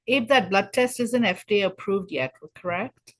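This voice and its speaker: A woman's voice: medium pitch, normal speed, no echo. A woman moderating a webinar on a video call, giving brief, polite prompts.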